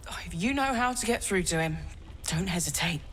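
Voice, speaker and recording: A woman's voice: on the low side, expressive, fairly quick, a bit bright, slight background noise. An actress voicing a sober, plain-spoken female soldier in a fantasy game, subdued and helpful. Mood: concerned, helpful. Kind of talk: video game voice acting.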